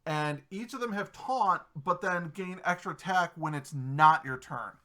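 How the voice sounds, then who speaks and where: A man with a high-pitched, bright, forward voice hosts a casual gaming chat. He rambles through fillers and restarts and keeps it loose and friendly over a desktop mic. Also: measured pace. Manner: chatty, self-interrupting with many fillers. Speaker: podcast host.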